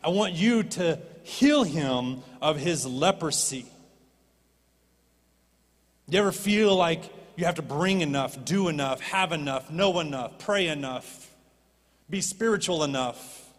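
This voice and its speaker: A man preaching from a church stage, his voice sitting a little high for a man. He is animated and swings up into impassioned pleading. His words carry a long hall reverb. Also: slow pace. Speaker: pastor. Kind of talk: sermon.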